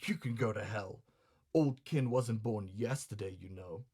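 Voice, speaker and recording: A man's voice: lowish voice, measured pace, dark tone, slightly rough. A man playing a grumbling, hot-tempered, vain male customer in a comic story, blustering with sarcastic, colloquial indignation. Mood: indignant.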